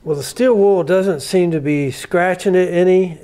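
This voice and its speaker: A man's voice: sits high, measured pace, dark tone. A man giving casual, plain-spoken commentary as a hobbyist restorer, thinking aloud while he works on a hands-on repair.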